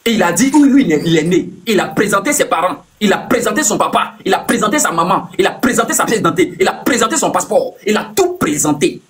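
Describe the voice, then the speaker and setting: A man's voice: high-pitched, big pitch swings, fast talker, few pauses, fairly bright tone. A man hosting a heated live debate, repeating himself and cutting in to press his point. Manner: insistent, repeats words, cuts in. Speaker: live stream host.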